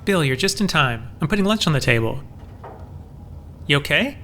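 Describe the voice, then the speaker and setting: A helpful, homely man with a very bright, slightly high voice. It is audio drama acting, with faint kitchen clatter behind him. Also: lively intonation, normal speed, a little hiss or hum. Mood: friendly, concerned. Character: friendly, helpful, homely male housemate.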